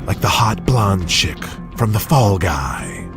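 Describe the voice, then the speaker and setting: A man voicing an arrogant, sneering company boss in an audio drama, mocking and deliberate with menace. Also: deep voice, lively intonation, music in the background.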